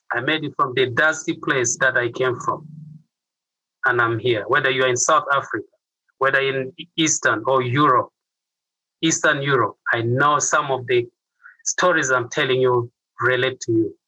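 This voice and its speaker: A man's voice: mid-range voice, a little slow, sharp tone, no echo, low-quality recording. A middle-aged man with a Ugandan accent, telling his story earnestly as an interview guest. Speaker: interview guest, youth mentor.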